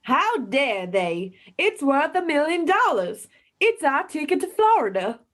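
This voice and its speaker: Woman acting upset indignant wife, radio drama, high pitched voice, dramatic. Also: sing-song, a little slow, fairly bright tone, exaggerated voice. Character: indignant wife dreaming of selling up. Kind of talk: amateur radio play acting.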